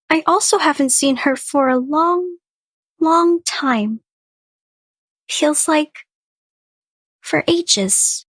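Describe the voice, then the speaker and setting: A woman playing a gentle, lonely spirit of the woods, narrating a scripted drama wistfully and deliberately. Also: sits high, no echo, studio quality.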